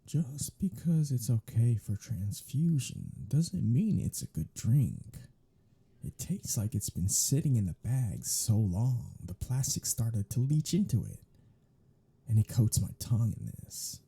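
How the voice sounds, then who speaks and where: A sardonic male vampire, acted out by a man, grumbles and teases in an intimate ASMR audio drama. His voice is very dark and heavily husky, with a gravelly creak. He drawls his mockery with irreverent sarcasm. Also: mid-range voice, heavily acted. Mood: disgusted, grumbling.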